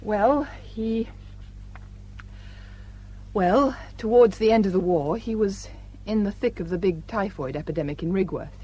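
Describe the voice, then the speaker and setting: A woman acting a frank young village doctor with a quiet sadness in a radio drama. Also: mid-range voice, a little slow, pauses often, husky. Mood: subdued, confiding.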